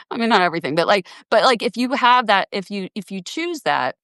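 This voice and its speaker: A woman in her forties talks things through in a relaxed, musing way, full of little restarts and "like"s. Her voice sits at a middle pitch and sounds dry and close, over a video call. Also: fairly quick, no room sound. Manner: reflective, thinks aloud with many "like" and restarts. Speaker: host. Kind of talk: casual conversation on a live video.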